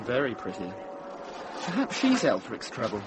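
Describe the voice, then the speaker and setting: An actor playing a young novice monk in a radio drama, chatty, curious and openly gossipy. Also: male voice, medium pitch, wildly swooping pitch, normal speed, noisy background. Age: young adult. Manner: gossipy, ingenuous.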